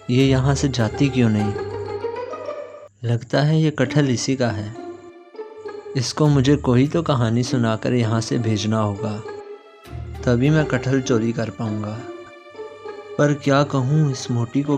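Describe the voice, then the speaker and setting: A sly, mischievous monkey thief from a children's cartoon tale, acted out by a man. He is cocky and exclamatory, muttering his schemes in a sing-song way, with music clearly playing behind him. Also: mid-range voice, close to an everyday voice. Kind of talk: animated children's story character acting.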